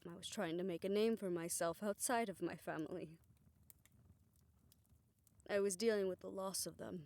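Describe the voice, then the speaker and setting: A mature woman in love played by a woman speaks intimately to her partner in an audio romance. Her mid-pitched voice is tender and self-assured. She has a reflective, slightly formal manner with wry self-deprecation. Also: steady tone, normal speed, darkish tone, no echo, lightly acted. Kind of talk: romantic audio roleplay, intimate scripted monologue.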